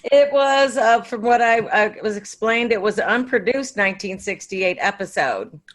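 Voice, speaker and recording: A woman's voice: medium pitch, animated, moderate pace. A woman co-hosting a casual interview, chatting with a fan's enthusiasm. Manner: enthusiastic fan. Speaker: podcast co-host.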